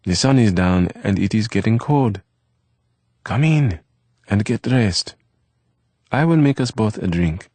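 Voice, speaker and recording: A man voicing a gentle, wistful young man who grew up on the streets, speaking in soft, formal phrases. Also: fairly low pitch, lively intonation, unhurried, long pauses, no room sound, pitched above the performer's own voice. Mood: fussing.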